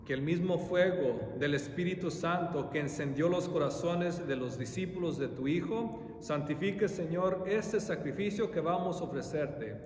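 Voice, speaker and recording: Higher-voiced priest praying in an echoing church. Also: male voice, darkish tone. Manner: measured, formal ritual phrasing. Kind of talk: solemn liturgical prayer recitation. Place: large reverberant church interior. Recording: echoey church hall, faint low rumble.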